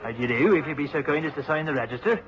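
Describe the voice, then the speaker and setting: An actor playing a friendly, obliging innkeeper with a Cornish accent and a folksy, chatty way of talking in a radio drama. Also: male voice, on the high side, lively intonation, rapid-fire, natural voice. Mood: welcoming. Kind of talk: mid-century radio drama acting. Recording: dull old radio recording.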